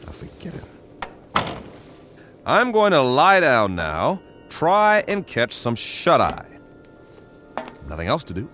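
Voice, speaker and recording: A man's voice: medium pitch, huge pitch swings, takes it slowly, pauses often, a bit bright, faint music behind, heavily acted. An actor playing an irritable, weary, sarcastic male space traveler in a science fiction radio drama, with a sardonic, exasperated manner.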